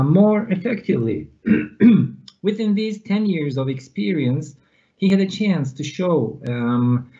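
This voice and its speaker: A man's voice: fairly high pitch, dark tone. Man hosting over a dull call. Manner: hesitant, measured introductions with fillers. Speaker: conference host. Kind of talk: hosting an online teacher conference. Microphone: video call.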